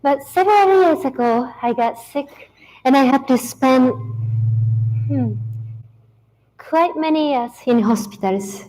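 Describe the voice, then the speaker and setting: A woman with a Japanese accent gives a prepared talk with calm, measured care. Her voice sits high and she takes her time over each phrase. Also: low-quality recording. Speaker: musician giving a talk.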